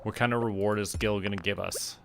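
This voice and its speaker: A man from Canada giving casual, relaxed gameplay commentary on a livestream, playfully muttering to himself and repeating phrases. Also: on the low side, brisk, gravelly, background noise. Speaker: game livestreamer. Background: game music.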